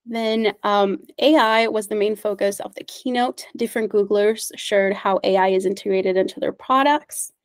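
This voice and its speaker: A woman's voice: mid-range voice, steady tone, normal speed, hardly any pauses, no echo. A woman whose first language is Spanish, presenting at an online meetup. She is upbeat and friendly, with frequent fillers and small laughs. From United States. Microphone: video call.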